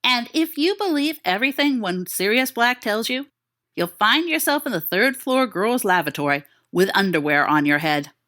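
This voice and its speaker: Low-voiced woman reading wryly. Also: fairly bright tone. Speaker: podfic reader. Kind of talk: reading credits and a story journal aloud.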